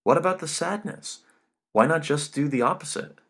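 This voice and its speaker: A man's voice: on the high side, moderate pace, a bit bright, voice pushed up. A man reading an audiobook, voicing a melancholy female singer who speaks in short, blunt questions. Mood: blunt, questioning. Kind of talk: audiobook character dialogue.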